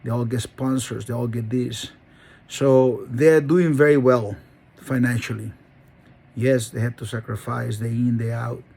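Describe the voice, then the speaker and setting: A middle-aged man speaks in a gravelly, husky voice with a dark, mellow tone. He talks earnestly and rambles, restarting his sentences as he tells his own story. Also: medium pitch, fairly even intonation, measured pace. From Honduras. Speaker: dog breeder and channel host. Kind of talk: personal monologue.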